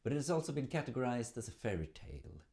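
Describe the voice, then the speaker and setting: A man narrating a folk tale as a storyteller, in a theatrical, measured manner. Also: mid-range voice, big pitch swings, mellow.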